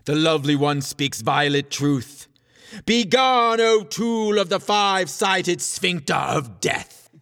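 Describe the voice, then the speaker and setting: A man's voice: high-pitched, expressive, slow pace, fairly bright tone, smooth, exaggerated voice. A man playing a pompous, spaced-out eco-warrior in a comedy audio drama, declaiming in grandiose archaic phrases. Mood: grandly defiant.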